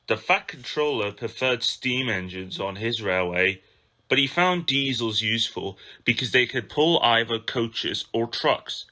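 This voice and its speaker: Guy reading a kids storybook aloud, bright forceful voice, medium pitch. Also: animated, a little slow. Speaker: amateur storybook narrator. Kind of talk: scripted children's story narration.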